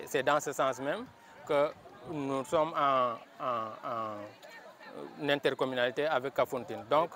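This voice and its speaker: A man's voice: on the high side, a bit bright. A man with a Senegalese accent speaks as a local elected official, giving a semi-formal statement in an earnest, emphatic way, repeating phrases for stress. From Casamance, Senegal. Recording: outdoor field recording with background noise.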